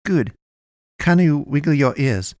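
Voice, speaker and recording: A man voicing a small, brisk, jokey flying alien in a story, speaking clipped, stilted broken English. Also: a little high, animated, darkish tone, no room sound, studio quality, voice pushed up. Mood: brisk, amused.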